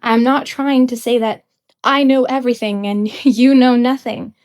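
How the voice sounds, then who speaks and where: A young woman with a somewhat high voice delivers an unscripted rant as a podcast host. She talks bluntly and emphatically, with the odd laugh, and sounds slightly stuffy from a cold. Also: steady tone, unhurried, a little mellow. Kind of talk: unscripted ranting commentary.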